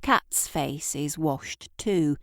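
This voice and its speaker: Slow, low-voiced woman narrating drama. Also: no echo, studio quality. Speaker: narrator and writer of an audio drama. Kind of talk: scripted dramatic literary narration.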